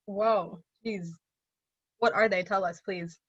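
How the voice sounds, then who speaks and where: A woman's voice: medium pitch, fairly even intonation, takes it slowly, husky, no room sound. Upbeat woman moderator on a lo-fi video call. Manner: upbeat, exclamatory reactions. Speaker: panel moderator. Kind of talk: casual online panel hosting.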